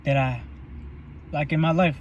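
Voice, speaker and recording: A man's voice: a little high, fairly even intonation, measured pace, highs cut off, slight background noise. A young man talking while he eats in a parked car, in a loose, rambling and profane way for his vlog. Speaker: vlogger. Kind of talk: casual eating vlog monologue.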